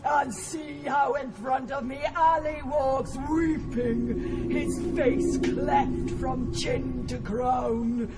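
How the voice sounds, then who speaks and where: An actor playing a mutilated damned soul in an audio drama, crying out slowly in drawn-out anguish. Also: male voice, extremely high pitch, steady tone, loud music behind the voice, heavily acted. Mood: anguished, wailing. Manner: slow drawn-out anguished cries. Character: mutilated tormented damned soul, male, wailing in agony. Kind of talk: dramatized audio performance of epic poem.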